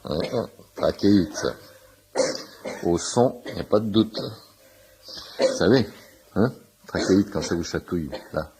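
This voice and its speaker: A man's voice: low-pitched, a little slow, pauses often, noisy background. A man playing a talkative, nosy shopkeeper who eagerly explains homeopathy in a pedantic way, in a French radio play. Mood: familiar, pleased. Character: chatty, nosy shirt-shop owner, amateur homeopathy enthusiast in waiting room. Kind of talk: naturalistic French radio comedy-drama acting.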